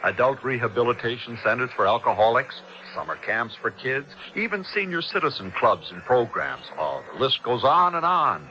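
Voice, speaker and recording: An actor playing a courteous, helpful charity officer in a scripted radio advert. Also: male voice, medium pitch, sing-song, normal speed, hardly any pauses, pushed and bright, slight background noise, low-quality recording.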